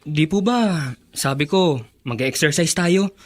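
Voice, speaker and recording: High-voiced coaxing Filipino grandson. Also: male voice, slow pace. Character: cheerful, coaxing young grandson. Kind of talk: Tagalog radio drama acting.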